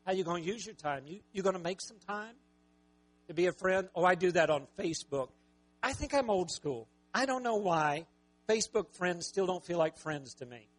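A man preaching a sermon with a raspy voice, talking conversationally and joking with the congregation. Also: high-pitched, animated, moderate pace. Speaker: pastor.

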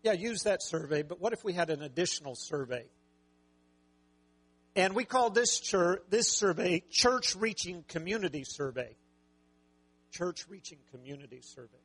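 A man preaching a sermon with a raspy voice, talking conversationally and joking with the congregation. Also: high voice, expressive, moderate pace, a bit bright. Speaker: pastor.

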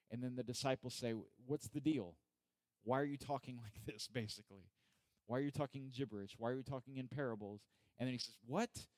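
A man preaching a sermon as pastor, who reads scripture slowly and deliberately and speaks to the congregation in a conversational way with joking asides. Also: medium pitch, a little mellow, no echo, poor audio. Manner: slow, deliberate scripture reading.

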